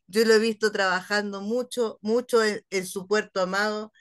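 A woman's voice: medium pitch, steady tone, normal speed, clear, resonant tone. A woman in her forties with a Chilean accent, interviewing casually over a video call from home. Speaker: interviewer, host. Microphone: computer mic on a video call.

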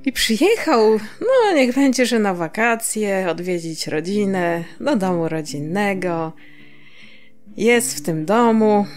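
A woman's voice: mid-range voice, animated, slow, faint music behind. A woman from Poland co-hosting a podcast in casual chat, often searching for words and chuckling. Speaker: podcast co-host.

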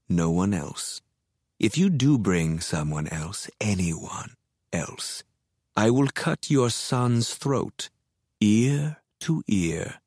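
A woman voicing a smooth-voiced, menacing male kidnapper in an audiobook, purring and icy. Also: rumbling low, mellow, no echo, clean studio sound, voice pushed down, full character voice. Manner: icy, slow and threatening. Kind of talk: audiobook character voice.

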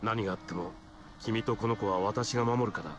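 An actor playing a kind, dependable young father king in a video game, speaking warmly and reassuringly with nobility. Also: fairly low pitch, brisk, lightly acted. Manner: warm, reassuring, noble. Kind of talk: dramatic video game voice acting. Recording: prominent game music behind.

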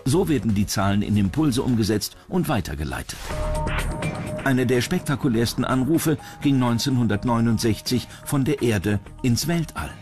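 A man's voice: medium pitch, lively intonation, normal speed. A man reading scripted narration as a documentary narrator. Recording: music bed under the voice. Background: background music.

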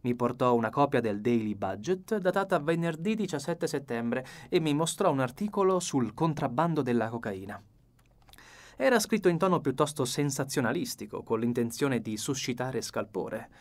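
A man with a mid-pitched voice narrates a novel as an audiobook. His storytelling is measured and expressive. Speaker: audiobook reader.

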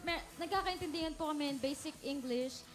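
A woman's voice: high voice, fast, fairly bright tone. A young woman with a Filipino accent answering a TV interview politely and earnestly. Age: young adult. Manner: polite, earnest, laughs easily. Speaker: pop group member guest. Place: TV studio set. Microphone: handheld.

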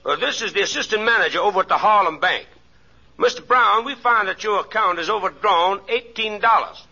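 Curt, formal bank official in vintage radio comedy; very sharp, husky, muffled broadcast sound. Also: male voice, high-pitched, a little fast, heavily acted. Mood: stern, formal. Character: brisk, officious male assistant bank manager. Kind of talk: 1940s radio comedy acting.

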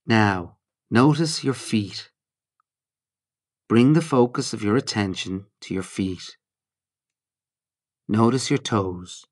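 Man, lowish pitch, very unhurried pace, calm, measured, guided body-scan narration, short instructional phrases. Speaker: mindfulness guide.

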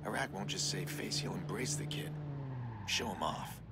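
An actor playing a grim, terse vigilante in his thirties in a video game, gruff and brooding. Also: animated, moderate pace, very noisy. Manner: terse, gruff, low-key. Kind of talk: video game voice acting, brooding monologue and dialogue.

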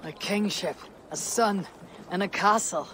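A low, husky Viking warrior woman, acted for a video game speaks over clear background noise. She is blunt and self-assured, a person of action who keeps her phrases terse and plain. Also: measured pace, fairly bright tone, natural voice. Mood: warm, curious. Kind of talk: video game cutscene acting. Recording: outdoor ambience noise behind the voice.

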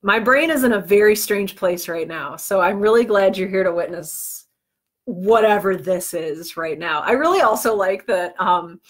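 A woman's voice: mid-range voice, moderate pace. A woman hosting an informal livestream tutorial, chatty and given to self-deprecating asides while a bit under the weather. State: under the weather with cold or flu, on medication. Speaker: livestream host teaching podcast business planning.

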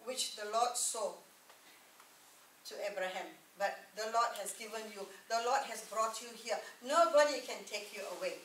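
A woman's voice: fairly high pitch, normal speed, a bit bright, a little hiss or hum. A middle-aged woman preaching a sermon, repeating key words and calling for "Amen?" from the room, recorded from a distant camera. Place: church meeting room with a projector screen. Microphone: distant camera mic. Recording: echoey room, far from the mic.

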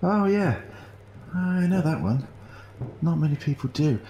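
A man's voice: fairly high pitch, expressive, measured pace, warm tone. A man acting an awkward, flirty space station crewman in an amateur audio drama, hesitant and dry. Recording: roomy echo, faint noise.

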